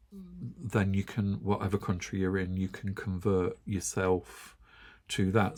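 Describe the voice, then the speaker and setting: A middle-aged English man with an English accent, hosting a knitting channel and chatting wryly to camera with frequent chuckles. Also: deep voice. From England. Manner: chatty, wry, chuckles often. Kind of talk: casual chat to camera.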